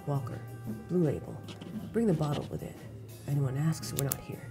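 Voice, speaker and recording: A woman's voice: sits low, sing-song, moderate pace, creaky, heavily acted. Composed, wry female operative woman acting, music behind. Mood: composed, assured. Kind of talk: dramatic audio roleplay acting.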